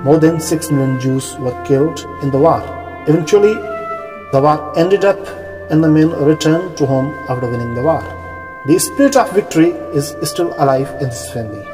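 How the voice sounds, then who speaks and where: A man giving a solemn, measured narration as a documentary intro. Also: a little high. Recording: distant, echoey, under loud music.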